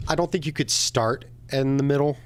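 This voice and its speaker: A man's voice: medium pitch, moderate pace. A man co-hosting a podcast, chatting casually about games and films. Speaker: podcast co-host.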